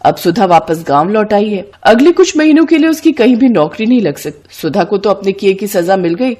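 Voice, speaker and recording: A woman's voice: medium pitch, lively intonation, a little fast, warm tone, no echo. A woman narrating a scripted moral story as a storyteller.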